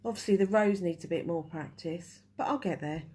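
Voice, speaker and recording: A woman's voice: lowish voice, brisk, dark tone, breathy edge. A woman with a head cold and a stuffy voice, chatting through a live nail-art tutorial while she works. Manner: chatty, restarts while working. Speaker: nail technician teaching a live class.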